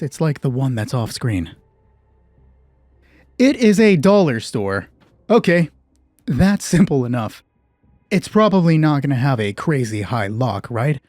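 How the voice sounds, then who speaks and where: Mellow-voiced man narrating a tabletop game. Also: on the high side, no echo. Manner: conversational, thinking aloud with self-deprecating asides. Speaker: solo roleplay game master and host. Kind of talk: narrating a tabletop roleplaying game, explaining rules.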